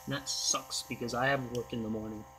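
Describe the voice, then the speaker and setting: A young man with a hoarse, worn-out voice, chatting over his own gameplay. He rambles and trails off in a tired, easygoing way. Casual commentary with a loose, unscripted feel. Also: medium pitch, steady tone, fairly quick, noisy background. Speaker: gaming channel host.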